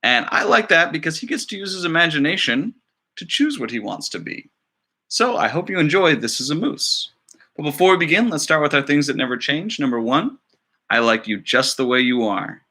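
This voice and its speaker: A man's voice: a little high, very animated, normal speed, pushed and bright. A man, a school librarian, talking casually and encouragingly to young children as he introduces a story.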